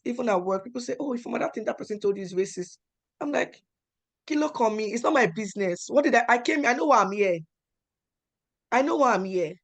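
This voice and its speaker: Woman, Nigerian accent, young adult, animated, emphatic repetition, mid-range pitch. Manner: animated, acts out quoted dialogue with emphatic repetition. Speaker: panel speaker. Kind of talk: personal storytelling talk.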